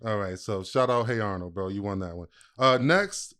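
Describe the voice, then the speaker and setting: A man's voice: fairly low pitch, moderate pace, fairly bright tone. A man co-hosting a podcast in casual, joking debate, with slangy, profane speech. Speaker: podcast co-host.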